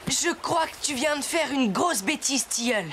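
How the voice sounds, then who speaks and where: A woman's voice: high-pitched, animated, normal speed, noisy background. A woman voicing a kind, sensible young boy in a children's cartoon, speaking in an earnest, concerned manner. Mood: reproachful. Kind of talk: animated children's cartoon acting. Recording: music behind the voice.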